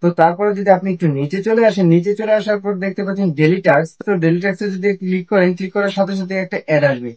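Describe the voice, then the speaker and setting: A man's voice: sits high, very fast, few pauses, a little mellow, dull audio. A man narrating an app tutorial step by step, in a repetitive, instructional way. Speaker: tech tutorial host.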